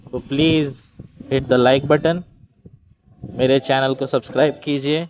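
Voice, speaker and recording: A man with an Indian accent giving casual, informal vlog commentary as a motovlogger, mixing two languages as he goes. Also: medium pitch. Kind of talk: casual vlog commentary in mixed Hindi and English. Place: outdoors on rural trails and roads, while riding a scooter. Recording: slightly dull outdoor recording with vehicle noise.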